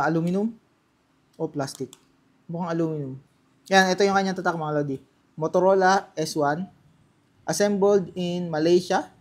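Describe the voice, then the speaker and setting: A man with a Filipino accent giving casual, unscripted unboxing commentary, halting and thinking aloud as he handles things. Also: a little high. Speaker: vlogger presenting product unboxing.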